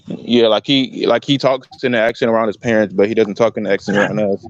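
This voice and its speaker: A man's voice: on the low side, fast talker, dry recording. Dull-sounding, insistent man in debate. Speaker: talk show panelist. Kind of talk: casual conversational debate.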